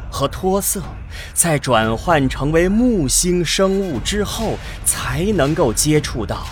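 Slow, expressive male drama narrator. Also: on the high side, a little hiss or hum. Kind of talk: dramatic scripted narration of sci-fi radio play.

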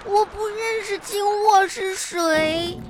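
A woman's voice: extremely high pitch, takes it slowly, a crowd in the background. A woman playing a cheeky, naive little schoolgirl in a comedy radio drama, answering back in a childlike manner. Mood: innocent cheek. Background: music.

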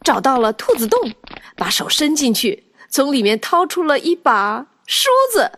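Female narrator for children's audio drama: highish voice, lively, expressive storytelling. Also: a little slow, faint music behind. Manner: animated storytelling.

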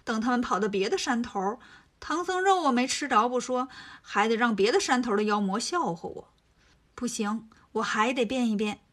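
A woman's voice: a little high, expressive, a bit bright. A woman voicing a cunning shape-shifting demon disguised as an old man, sly and whining. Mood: scheming. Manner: sly, whining, slow mournful pleading.

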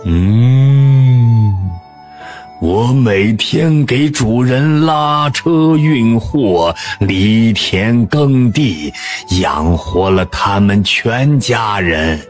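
A man doing a slow, ponderous old ox. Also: medium pitch. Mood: aggrieved. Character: old hardworking male ox. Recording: faint background music.

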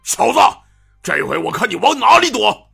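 A man's voice: high-pitched, big pitch swings, moderate pace, vocal fry, a bit bright, breathy edge, faint music behind, pitched above the performer's own voice, exaggerated voice. A man voicing a dim-witted, hot-tempered male axe-wielding brute in a martial-arts audio story, bellowing and boastful. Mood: threatening. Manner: bellowing, boastful, howls in pain.

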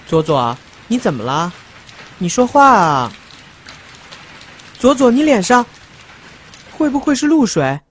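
A woman's voice: a little high, big pitch swings, slow, long pauses. A woman playing a blunt, caring young sock companion in a fairy-tale radio drama, chiding and exclaiming expressively. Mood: alarmed. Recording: clear music behind voice.

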